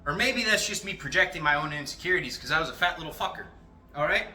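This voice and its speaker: A young man giving a casual, rambling motivational talk to his viewers, cursing freely and animated. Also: a little high, quick pace. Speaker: motivational vlogger. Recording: echoey room, faint music.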